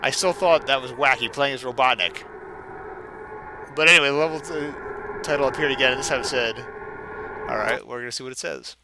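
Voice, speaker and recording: A man's voice: mid-range voice, brisk, pauses often, background noise. A man doing casual, sarcastic and irreverent commentary while playing a video game. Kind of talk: casual mocking playthrough commentary.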